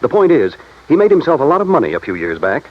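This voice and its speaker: A man's voice: on the high side, wildly swooping pitch, fast. An American actor playing an earnest, businesslike male insurance executive in a 1950s radio drama, explaining things carefully. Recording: muffled vintage radio recording.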